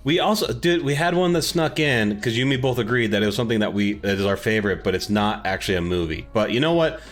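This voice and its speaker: Man, slightly low voice, podcast host, casual chat, self-deprecating, echoey home office, music underneath. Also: lively intonation, fast. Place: home office without soundproofing. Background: underlying background music.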